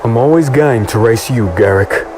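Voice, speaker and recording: An actor playing a confident, teasing warrior hero who also narrates a fantasy audio drama, with a creaky voice. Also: male voice, mid-range voice, normal speed, close to an everyday voice. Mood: playful, cocky. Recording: loud music over the voice.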